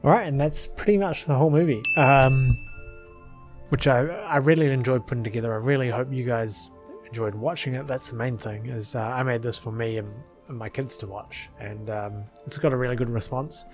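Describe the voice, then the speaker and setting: A man's voice: medium pitch, steady tone, normal speed. Male video creator, mid-range voice, casual commentary with a slightly numb mouth, over background music. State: mouth partly numb after dental work. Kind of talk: casual rambling commentary over a film edit.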